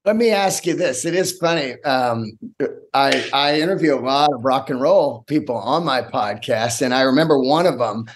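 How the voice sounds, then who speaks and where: A man's voice: mid-range voice, normal speed, slight background noise. A man chatting casually as he hosts a podcast interview. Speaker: podcast host.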